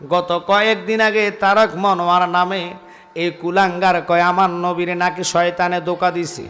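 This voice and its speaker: High-pitched Bangladeshi male preacher, heavy reverb. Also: flat delivery, soft background music. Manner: impassioned, declamatory, drawn-out chanting phrases. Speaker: Islamic preacher. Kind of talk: Bengali waz sermon, dramatic storytelling. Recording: long reverb from loudspeaker system.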